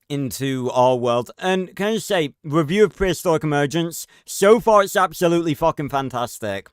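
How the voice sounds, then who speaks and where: A man's voice: medium pitch, smooth, no room sound, clean studio sound. A man giving casual, rambling livestream reaction commentary with frequent swearing. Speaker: reaction streamer.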